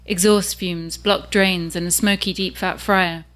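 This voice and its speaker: A woman, reading the opening of her own novel aloud in an unhurried way. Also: fairly low pitch, fairly even intonation, a bit bright. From England. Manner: measured and unhurried.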